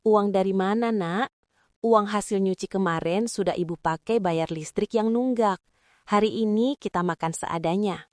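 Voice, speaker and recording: A woman's voice: mid-range voice, moderate pace, darkish tone, dry recording, clean studio sound, natural voice. A woman playing a weary, poor mother in a cartoon drama, reproachful in manner. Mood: weary, resigned.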